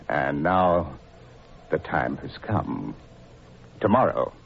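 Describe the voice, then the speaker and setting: A man's voice: deep voice, creaky, dull audio, slight background noise, full character voice. An actor playing a mad, vengeful male scientist villain in an old radio play, with a theatrical, gloating delivery and sinister chuckles. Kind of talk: 1940s radio acting.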